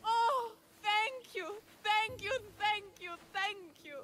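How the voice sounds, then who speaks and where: A timid, devout young shepherdess. Her voice is high and her delivery very unhurried, halting with awestruck, apologetic reverence. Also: sharp tone, full character voice. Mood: reverent. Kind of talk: video game cutscene acting.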